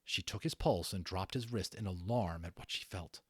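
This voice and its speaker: A man narrating a children's book as an amateur reader, in a dramatic, deliberate storytelling style. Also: low-pitched, a bit bright, breathy edge, dry recording, clean studio sound.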